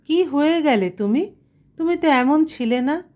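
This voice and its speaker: A woman's voice: high voice, expressive, measured pace, warm tone, voice pushed up. A woman voicing a shocked, hurt middle-aged wife in an audio story, incredulous and reproachful. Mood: hurt disbelief.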